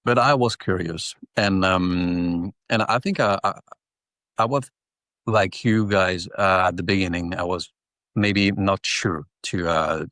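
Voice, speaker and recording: Actor guest, male, very low voice, stop-start English from a non-native speaker, dry close sound. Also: fairly bright tone, no echo. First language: not English. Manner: halting, repeats words while searching for English. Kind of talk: casual interview answers.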